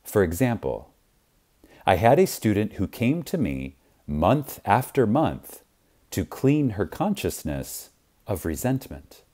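A man narrating an audiobook in a reverent, emphatic manner with deliberate, dramatic pauses. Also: on the low side, big pitch swings, mellow.

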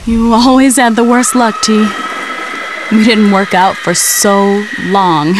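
A woman's voice: mid-range voice, fairly even intonation, slow, lightly acted. A woman playing a sorrowful, loving wife consoling her husband in a horror game. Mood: tender, sad. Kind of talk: scripted game cutscene acting. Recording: faint rain noise behind.

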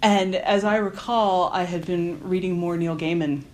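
A woman interviewing casually as a fellow writer, talking in a halting way with soft asides. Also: lowish voice, fairly even intonation. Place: hotel. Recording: background room noise.

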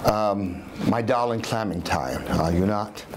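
A man's voice: lowish voice, a little slow, background noise. An older man talking theatrically to a live audience as a storyteller, addressing the room directly. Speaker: storyteller giving dramatic readings. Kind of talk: live performance patter to an audience. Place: dim parlour of a house, small seated audience.

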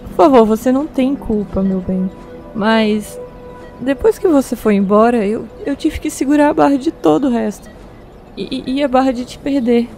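A woman plays a weary young woman in an audio drama, stumbling over her words as she speaks. Her voice sits somewhat high but dark and mellow, with soft music underneath. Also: close to an everyday voice. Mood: emotional. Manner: hesitant, halting, emotional.

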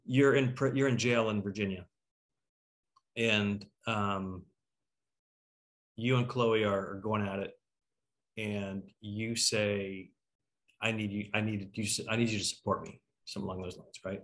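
A man's voice: on the low side, steady tone, a little slow, long pauses, a bit bright. A middle-aged man hosting a casual discussion on a video call, talking emphatically and musing in questions. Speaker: host.

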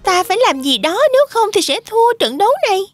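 Squeaky little cartoon goat. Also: female voice, expressive, quick pace, faint music behind. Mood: alarmed. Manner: childlike, eager. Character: excitable little kid goat.

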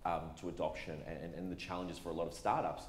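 A man speaking on a conference panel, measured and full of fillers and restarts, on a lapel mic in a large hall. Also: deep voice, level tone. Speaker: panelist and moderator. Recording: echoey hall with faint noise.

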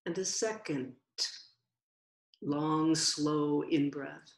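A woman meditation teacher giving guided meditation instruction in a gentle, unhurried way with long pauses. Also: deep voice, steady tone. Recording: roomy, echoing sound.

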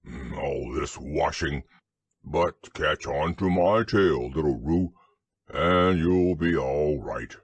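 A gloomy old-school storybook donkey, as a man acts him out, grumbles along very slowly in a low, husky voice. He sounds disapproving and drags out his words, recorded close and dry. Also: no room sound, exaggerated voice. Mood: grudgingly kind. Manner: grumbling, drawn-out delivery.

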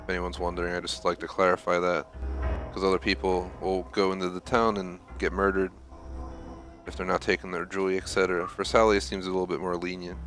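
Deep voiced guy streaming a game, just thinking out loud, game music loud behind him. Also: level tone, fast. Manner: thinking aloud, explanatory. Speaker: gaming streamer. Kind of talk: casual gameplay commentary.

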